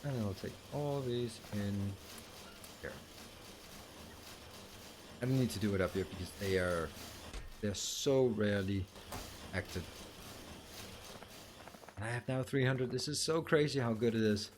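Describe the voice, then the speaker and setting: A man's voice: lowish voice, measured pace, long pauses, background noise. A man streaming a game tutorial, chatting casually with viewers and thinking aloud as he builds.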